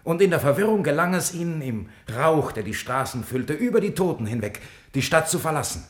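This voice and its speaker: An actor in a 1950s German radio drama playing a humble, shaken soldier who speaks gravely and deferentially, recorded with room echo. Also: male voice, on the high side, lively intonation, fairly quick, natural voice. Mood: earnest. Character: humble, shaken soldier reporting to his lord. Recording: roomy vintage radio studio recording.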